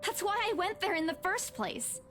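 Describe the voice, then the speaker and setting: A woman's voice: very high voice, very animated, normal speed, a bit bright, soft background music, full character voice. An actress playing an earnest, flustered young woman realtor in a visual novel game. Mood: confused.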